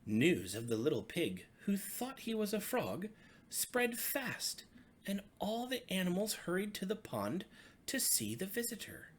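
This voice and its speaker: A woman reading a children's bedtime story with expressive, dramatic, deliberate phrasing. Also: medium pitch, slight background noise. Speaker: storybook reader.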